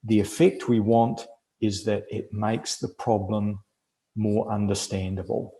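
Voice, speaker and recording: A New Zealand man leads an online workshop in a low, dark, gravelly voice, taking his time over each point. He explains patiently with plenty of ums. The call sounds echoey, as if from a bare room. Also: fairly even intonation. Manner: measured, explanatory, with frequent fillers. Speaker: webinar presenter. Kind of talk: teaching a webinar. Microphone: video call.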